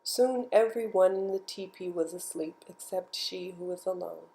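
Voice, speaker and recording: A woman reading a bedtime story aloud in an expressive, measured storytelling manner. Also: fairly low pitch, vocal fry, poor audio. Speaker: storybook reader. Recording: music behind the voice. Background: music.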